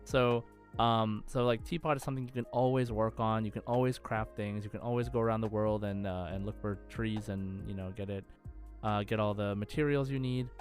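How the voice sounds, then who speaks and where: A man's voice: lowish voice, fairly even intonation, fairly quick, soft background music. A man hosting a gaming podcast, chatting casually and with enthusiasm about the topic. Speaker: podcast host.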